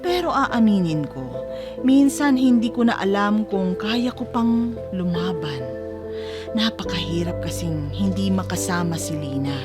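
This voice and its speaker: A woman's voice: medium pitch, lively intonation, measured pace, music in the background, lightly acted. A woman playing a homesick, devoted Filipino mother who narrates her own story in an audio drama. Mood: weary, sorrowful.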